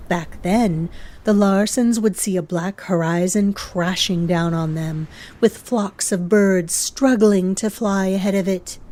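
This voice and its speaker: A woman reading a scripted horror story as narrator, in a measured, ominous way. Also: lowish voice, mellow.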